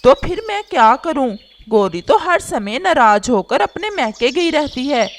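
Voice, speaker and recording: A woman's voice: high voice, big pitch swings, a little slow, a little hiss or hum. A woman voicing a whiny, scheming, lovesick male crow in a children's cartoon, with a pleading, complaining manner. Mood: aggrieved.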